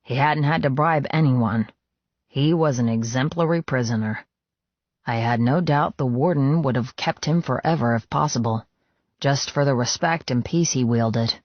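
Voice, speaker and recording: A man's voice: medium pitch, normal speed, no echo. A man narrating a novel in the first person as a scripted, intense and dramatic read. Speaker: audiobook narrator. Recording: dry, slightly dull sound.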